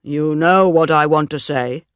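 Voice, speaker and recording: Man reading as a lovesick middle-aged farmer, earnest and insistent, slow, a bit high, dry sound. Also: fairly bright tone, clear, resonant tone, dry recording. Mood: pleading. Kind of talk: audiobook dialogue reading.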